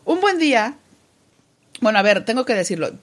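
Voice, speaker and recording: A woman's voice: a little high, very animated, normal speed. A woman with a Mexican Spanish accent, a radio host chatting as a podcast guest in a playful, teasing way. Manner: playful, teasing, laughs often. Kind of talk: casual podcast chat.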